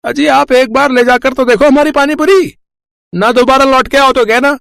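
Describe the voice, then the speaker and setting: A man voicing a boastful, scheming male panipuri seller in an animated village story, pushy and loud. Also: very high-pitched, moderate pace, vocal fry, smooth, no echo, exaggerated voice. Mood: smooth-talking. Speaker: cartoon voice actor.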